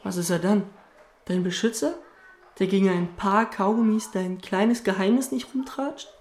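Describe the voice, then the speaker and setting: A woman's voice: mid-range voice, normal speed, darkish tone, lightly acted. A woman voicing a cheeky, self-assured schoolboy who teases and talks boldly. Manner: cheeky, teasing, brash. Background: music.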